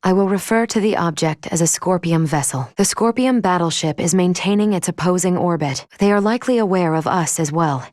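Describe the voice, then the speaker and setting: Game casting: actress as a logical female android ship's officer, lowish voice, formal, clinical and measured, recorded dry. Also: a little mellow, no room sound, lightly acted. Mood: calm, analytical. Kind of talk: scripted video game voice acting.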